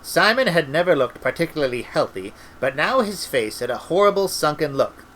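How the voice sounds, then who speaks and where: Bright-voiced man narrating a story. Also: fairly high pitch, very animated, normal speed, a little hiss or hum, rough recording. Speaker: amateur audiobook reader, former radio presenter.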